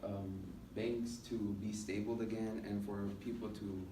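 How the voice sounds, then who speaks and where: Halting young man, flat low-key delivery, distant mic. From United States. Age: young adult. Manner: halting, hesitant delivery with many filled pauses. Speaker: college student giving a speech class presentation. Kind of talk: informal classroom presentation speech. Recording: roomy, noisy classroom recording from a distance.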